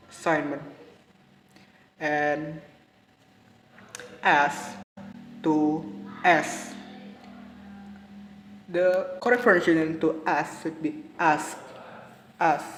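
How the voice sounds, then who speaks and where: A young man with an Indonesian accent giving a halting class presentation on pronunciation, repeating each word several times. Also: a little high, a bit bright, slight background noise. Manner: halting, repeats words for practice. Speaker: student presenter. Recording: roomy, reverberant with faint ambience.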